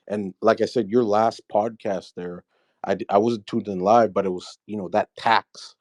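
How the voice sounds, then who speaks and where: A man's voice: medium pitch, no room sound. A man from Michigan calling in to a show, rambling and hesitant with many fillers. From Michigan, USA. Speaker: caller. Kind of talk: casual call-in chat.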